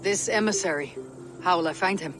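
A steely Viking warrior woman from a video game, played by an actress. Her voice is husky and low, and she talks in measured, terse phrases with calm command. Music plays beneath her. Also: close to an everyday voice. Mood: measured, determined. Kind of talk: video game cutscene acting.

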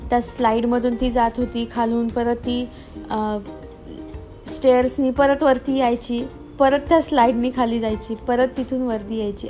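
Female vlogger, higher register, flat intonation, explaining things in a casual narration. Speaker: family vlogger. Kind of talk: casual voice-over narration of a mall visit. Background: music.

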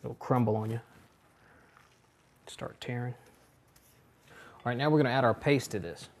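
A middle-aged man walks viewers through a recipe in his home kitchen, casual and easygoing. His voice sits at an ordinary pitch for a man, with plain, unhurried instructional phrasing. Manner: relaxed step-by-step instruction with fillers. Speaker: cooking show host. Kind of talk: home cooking demonstration.

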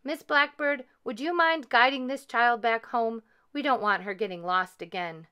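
A woman's voice: on the high side, very animated, a little slow, sharp tone, heavily acted. Woman as a stern, motherly mama bear. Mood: kindly.

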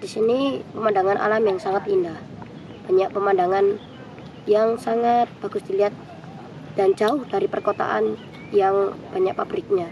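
A man's voice: high voice, fairly even intonation, moderate pace, pauses often, highs cut off. Young teenage boy, noisy outdoor recording. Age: young teenager, about 12 to 13. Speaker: student scout giving a testimonial. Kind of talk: prepared testimonial to camera. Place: outdoors in a hillside camp garden. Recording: clear outdoor ambient noise.

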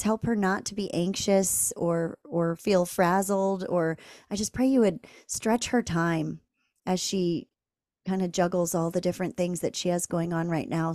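A woman podcast host with a low-pitched, mellow voice prays for her guest in a gentle, earnest way, pausing on soft fillers. The sound is dry and close, with almost no room in it. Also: no room sound. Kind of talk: spontaneous spoken prayer.

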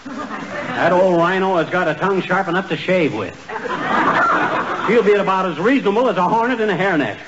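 A man playing an excitable, boastful middle-aged husband in a 1940s radio comedy, flustered and rambling. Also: high-pitched, normal speed, pauses often, over a noisy crowd, very noisy. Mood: blustering. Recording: muffled 1940s radio broadcast.

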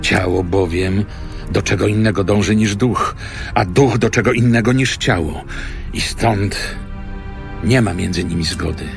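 A very deep, creaky voice speaks slowly over clear background music. It is an earnest, stern apostle, grave and emphatic, delivering an audio Bible monologue. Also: male voice. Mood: stern warning. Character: stern, earnest apostle teaching his followers.

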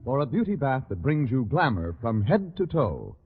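Animated ad man over loud music. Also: mid-range voice, moderate pace. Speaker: commercial announcer. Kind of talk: 1940s radio ad reading. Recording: dull 1940s radio, echoey hall.

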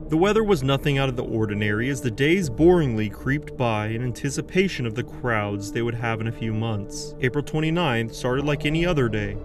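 A man telling a true story as a scripted narration, earnest with dramatic pauses. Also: mid-range voice. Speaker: documentary narrator. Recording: music bed under voice. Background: music.

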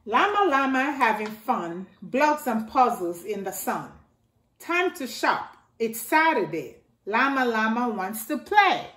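A middle-aged woman reading a rhyming picture book aloud to children in a slow sing-song way, filmed indoors at home by a camera a few feet away. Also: on the high side, sing-song, fairly bright tone. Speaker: storytime reader. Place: living room by a staircase, indoors. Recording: echoey room.

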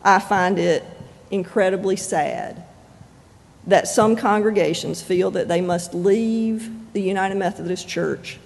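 Pastor, unhurried sermon, gravelly edge, long church echo. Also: mid-range voice, darkish tone. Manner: slow, measured preaching with deliberate pauses. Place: church sanctuary. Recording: long reverb of a church sanctuary.

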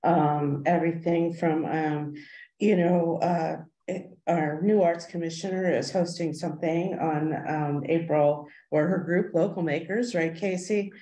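A woman commenting informally and haltingly in a committee meeting. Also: deep voice, steady tone, warm tone. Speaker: arts commission member. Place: indoor meeting room. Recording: echoey meeting room.

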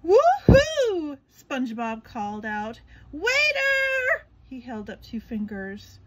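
A woman's voice: fairly high pitch, fairly even intonation, unhurried, heavy background noise. A woman narrating a scripted children's story as a reader, expressively and with dramatic emphasis.

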